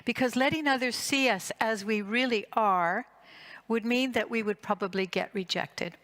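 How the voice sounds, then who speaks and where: A woman in her sixties from Greece and Canada gives a prepared conference talk as a psychotherapist, phrasing deliberately with emphatic repetition. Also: a little high, fairly bright tone. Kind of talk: prepared conference lecture with personal story.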